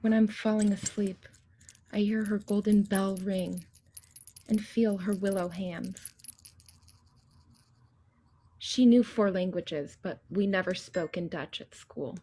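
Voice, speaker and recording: A woman performing a wistful childhood memory as a theatrical poetic monologue, in a measured, husky, creaky voice. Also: mid-range voice, steady tone, dark tone.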